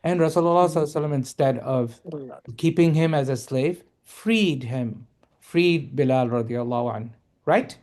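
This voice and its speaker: A man teaching a reading lesson, explaining slowly and patiently and checking often that his students follow. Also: a little high, darkish tone. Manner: patient, explaining, checks understanding with "right?" and "okay?". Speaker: Arabic teacher.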